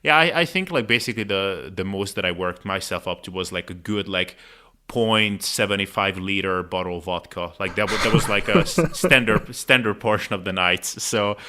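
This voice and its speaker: A man's voice: sits low, normal speed, pushed and bright. A man hosting a casual interview in an informal, chatty way full of filler words. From Hungary. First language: Hungarian. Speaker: podcast host.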